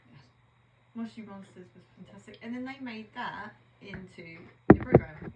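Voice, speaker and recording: A woman's voice: mid-range voice, normal speed, dark tone, a little hiss or hum. A woman co-hosting a podcast in casual chat, chatty and teasing, sometimes trailing off while searching for a word. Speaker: podcast co-host. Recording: echoey room.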